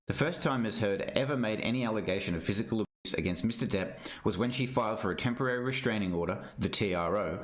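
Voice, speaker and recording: Low-voiced man reading formally in an echoey room. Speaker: reader of a legal document. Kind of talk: formal read-aloud narration of a witness statement. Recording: roomy, echoing sound.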